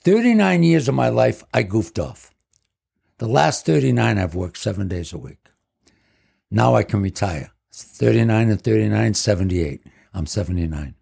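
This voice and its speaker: Older man in his 70s, guest storyteller, gravelly husky voice, rambling. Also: fairly low pitch, expressive, normal speed, a little mellow. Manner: rambling, leans on "basically". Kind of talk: casual storytelling.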